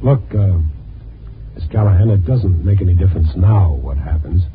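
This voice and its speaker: A man's voice: low-pitched, animated, moderate pace, over music. A man playing a world-weary, probing newspaper reporter in a 1950s radio noir drama, on a tinny old recording. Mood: gentle probing. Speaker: narrator and lead actor. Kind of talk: 1950s radio noir acting, first-person narration.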